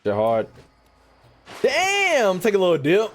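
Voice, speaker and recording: A man's voice: sits high, huge pitch swings, takes it slowly, faint music behind. A man doing casual, slangy gameplay commentary as a streamer, exclaiming and reacting aloud. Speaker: gaming streamer.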